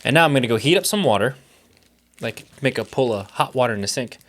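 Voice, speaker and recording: A man's voice: mid-range voice, expressive, quick pace, fairly bright tone. A young man in a casual vlog, thinking aloud with plenty of fillers as he tests music mixes, keen and talkative. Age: young adult. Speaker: music producer testing cassette tape.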